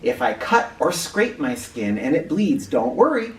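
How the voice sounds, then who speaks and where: A man hosting an educational read-aloud for children, speaking directly to them with clear emphasis and questions. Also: fairly high pitch, big pitch swings, a little slow. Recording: roomy, distant mic with faint noise.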